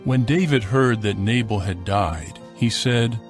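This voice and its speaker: A man narrating a dramatized scripture reading in a measured, formal, scripted style. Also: fairly low pitch, soft background music.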